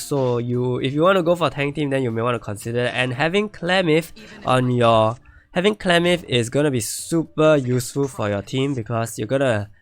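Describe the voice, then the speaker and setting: A man streaming a game and reviewing viewer accounts, thinking aloud and repeating himself as he starts to lose his voice. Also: mid-range voice. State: losing his voice. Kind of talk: casual live-stream commentary.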